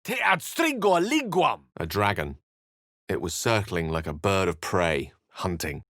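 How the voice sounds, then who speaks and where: A learned wizard from a fantasy video game. He sits on the low side in pitch and talks with literary polish. His temper is wry, romantic and dramatic, and every phrase is carefully articulated for the stage. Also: very animated, a little slow, fairly bright tone, exaggerated voice. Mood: forceful incantation, then hushed, storytelling. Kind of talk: video game character voice acting.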